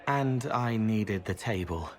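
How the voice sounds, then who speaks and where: Gentle, guileless young man. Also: fairly low pitch, lively intonation, slow pace, a faint crowd. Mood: gentle, content. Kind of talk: video game dialogue acting.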